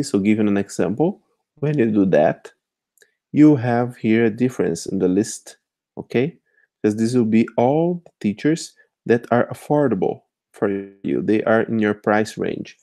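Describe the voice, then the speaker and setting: Portuguese-native guy explaining a website, lowish mellow voice, keeps asking okay?. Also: lively intonation, normal speed. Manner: conversational, keeps checking in with "okay?". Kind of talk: screen-share tutorial walkthrough.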